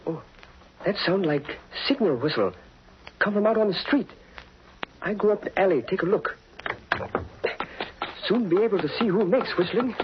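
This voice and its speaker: An actor playing a loyal, anxious valet in a 1940s radio drama, speaking clipped broken English. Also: male voice, high-pitched, expressive, normal speed, pauses often. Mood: alert, watchful. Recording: noisy, muffled 1940s radio recording.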